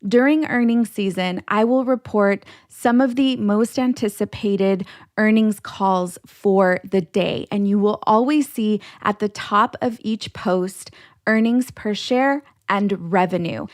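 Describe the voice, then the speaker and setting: A woman's voice: medium pitch, takes it slowly, no echo, clean studio sound. A woman hosting an educational podcast, explaining things step by step directly to her listeners. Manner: instructional, explains terms step by step. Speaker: podcast host, financial educator.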